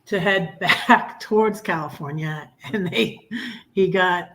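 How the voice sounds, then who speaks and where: A man's voice: high-pitched, takes it slowly, bright, forceful tone. A man with an American accent, chatting casually in a group.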